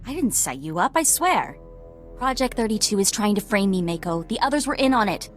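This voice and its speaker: A woman's voice: mid-range voice, sing-song, a little fast, soft background music, natural voice. A woman voicing a defiant, self-important young clone in a video game, with intense, contemptuous delivery. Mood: desperate, pleading.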